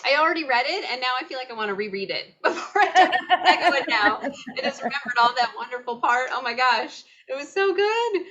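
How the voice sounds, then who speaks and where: A woman with a fairly high, bright voice hosts a book club interview. She chats casually and gushes with enthusiasm. The recording is a little lo-fi. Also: lively intonation, a little fast. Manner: gushing, enthusiastic.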